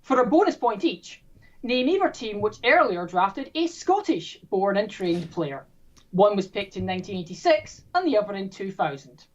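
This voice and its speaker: A woman hosting a trivia quiz, reading questions in a brisk, playful, self-mocking way. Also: mid-range voice, big pitch swings, dull audio. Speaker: quiz host.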